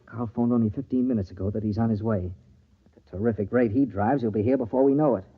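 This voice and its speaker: An actor playing a jovial party host with a secret lover, in theatrical old-time radio style. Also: male voice, mid-range voice, fast, breathy edge, natural voice. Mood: furtive, urgent. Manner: theatrical mock-pompous speechmaking, hushed urgency in private. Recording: dull old radio recording, organ music behind.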